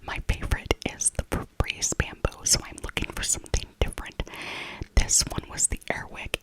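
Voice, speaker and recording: Whispering middle-aged woman, ASMR. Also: poor audio. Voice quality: soft, breathy whisper. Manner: chatty, repeats phrases for emphasis. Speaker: ASMR video creator. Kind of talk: ASMR whispered voiceover, casual rambling narration.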